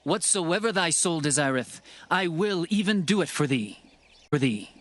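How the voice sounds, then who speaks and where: A man's voice: fairly high pitch, expressive, moderate pace, fairly bright tone, dry recording. A man playing a loyal, earnest young prince of ancient Israel in a dramatized scripture reading, with formal archaic phrasing. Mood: devoted.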